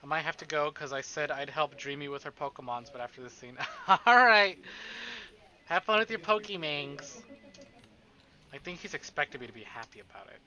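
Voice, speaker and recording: A man's voice: on the high side, normal speed, sharp tone, muffled recording. A man livestreaming a visual novel, reading it aloud dramatically and adding casual joking asides.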